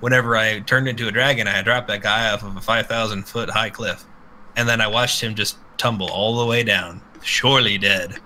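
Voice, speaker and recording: A man's voice: fairly low pitch, fairly even intonation, a little fast, bright, forceful tone, a little hiss or hum, rough recording. A man co-hosting a podcast in casual, crude banter, rambling and irreverent, swearing freely. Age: adult with children. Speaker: podcast co-host.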